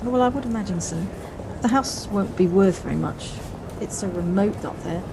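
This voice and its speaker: A woman in an audio play voicing a brisk, fretful, well-spoken wife with a wry, slightly snobbish manner, recorded at home on a personal device. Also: medium pitch, background noise, natural voice. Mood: brisk, dismissive.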